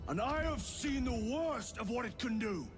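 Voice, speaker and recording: Commanding space soldier, grave and clipped, video game drama, animated range from grim to forceful. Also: male voice, extremely high pitch, moderate pace, music in the background. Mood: urgent, commanding. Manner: terse, grave, authoritative. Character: stern, commanding veteran space marine lieutenant, grim.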